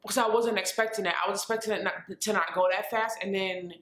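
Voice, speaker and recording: A woman's voice: medium pitch, fairly even intonation, fast, fairly bright tone, roomy sound. A woman in her twenties from New York, chatting casually in a vlog with fillers and restarts. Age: 20s. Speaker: vlogger. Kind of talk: casual life-update vlog. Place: her apartment.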